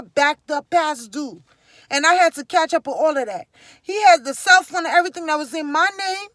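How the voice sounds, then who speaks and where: Liberian-accented woman vlogger, high voice, dry sound, emphatic and preachy. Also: no echo. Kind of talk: casual personal storytelling to camera.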